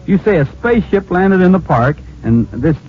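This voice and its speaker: An actor playing a calm, concerned, plainspoken hero in an old-time radio drama. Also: male voice, high-pitched, normal speed. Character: calm, concerned, open-minded hero investigating a mystery. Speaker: lead actor of the series. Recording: muffled old radio recording, faint hiss.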